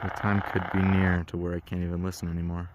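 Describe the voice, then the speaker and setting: A man's voice: deep voice, monotone, fast talker, muffled recording. A man giving casual, rambling and frustrated commentary as a radio hobbyist, recorded outdoors in a backyard. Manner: frustrated, grumbling about interference. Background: shortwave radio noise and signals.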